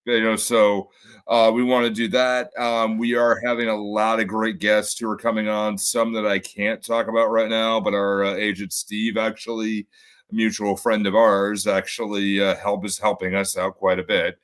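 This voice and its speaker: A man with a bassy voice, a podcast guest in casual conversation, halting with many fillers and restarts. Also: flat delivery, fairly bright tone, smooth.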